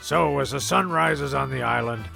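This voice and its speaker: A man reading scripted comic story narration in a gravelly voice. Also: mid-range voice, normal speed, pushed and bright, soft background music.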